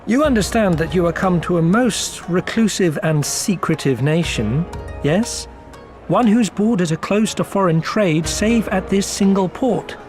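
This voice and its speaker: An actor playing a theatrically courteous merchant and company agent in a video game, talking in florid, circuitous and unctuous phrases. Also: male voice, high voice, lively intonation, unhurried, soft background music. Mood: sly, confiding. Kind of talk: scripted video game character dialogue.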